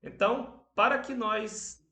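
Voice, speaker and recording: A Brazilian man teaching an online class, speaking softly because he has a cold and a sore throat. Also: high voice, fairly even intonation, reverberant. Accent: Brazilian Portuguese. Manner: speaks softly, slow and unhurried, many tag questions.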